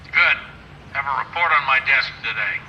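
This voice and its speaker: A man's voice: mid-range voice, normal speed. A man playing an irate, bullying 1940s police commander in a video game, barking threats through a telephone filter. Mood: angry, threatening. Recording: thin band-limited phone line, faint noise.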